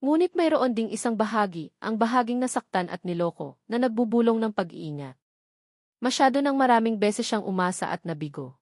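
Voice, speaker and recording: A woman's voice: medium pitch, darkish tone, dry recording, studio quality. A woman reading a scripted, dramatic story as its narrator.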